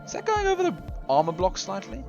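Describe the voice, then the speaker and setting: Hoarse, lively man commentating a game. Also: high voice, fairly quick. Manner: chatty, rambling, lots of restarts and laughs. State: hoarse, losing his voice. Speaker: tournament commentator. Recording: dull lo-fi recording with faint music.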